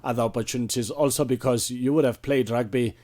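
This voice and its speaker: A man's voice: medium pitch, fairly even intonation, quick pace, a little mellow. A man with a South African accent interviewing as a radio sports host, in wordy, formal phrasing.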